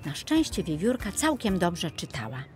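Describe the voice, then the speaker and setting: A woman narrates a children's fairy tale in a lowish voice with very lively swings of intonation. Her delivery is expressive and scripted, with music softly behind. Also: normal speed.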